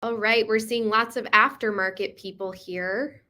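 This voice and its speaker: A woman hosting an online industry webinar, her voice bright and a touch high. She introduces speakers and runs the session in a polished, upbeat way. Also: steady tone, a little slow. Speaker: webinar moderator. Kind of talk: scripted moderation.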